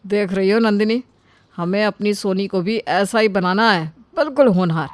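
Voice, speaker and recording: A woman voicing an affectionate young uncle and father, fond and earnest, in an animated family story. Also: medium pitch, moderate pace, no echo, lightly acted.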